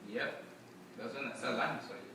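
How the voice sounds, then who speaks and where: Young man from Congo, Mashi native, earnest and measured, recorded far off in an echoey room. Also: mid-range voice, a crowd in the background. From Democratic Republic of Congo. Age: young adult. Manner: earnest, measured, with frequent fillers. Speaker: student presenter. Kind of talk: personal testimony and Q&A.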